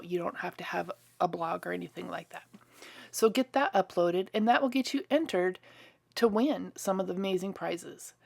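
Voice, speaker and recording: Chatty woman, slightly hoarse and stuffy. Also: medium pitch, darkish tone. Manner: chatty and enthusiastic. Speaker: card-making crafter. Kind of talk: casual crafting tutorial voice-over.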